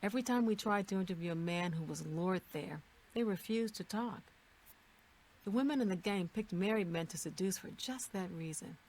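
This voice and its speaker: An actress as a brisk undercover town guardswoman from a fantasy video game. Her voice sits on the low side and stays measured and matter-of-fact. Faint ambient noise runs behind her lines. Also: close to an everyday voice, poor audio.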